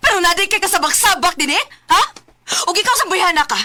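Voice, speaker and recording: A woman's voice: very high voice, expressive, quick pace, sharp tone, exaggerated voice. An actress playing an emotional, wronged young working mother with a Cebuano accent in a sentimental radio drama. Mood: suspicious, furious.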